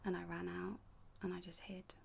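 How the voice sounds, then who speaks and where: A frank, raw young woman confides in a creaky, mellow voice, played by an actress in a monologue. She is breathy and often sinks almost to a whisper. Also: fairly low pitch, little pitch movement, moderate pace, slight background noise. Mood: confiding, shaken. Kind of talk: dramatic monologue acting.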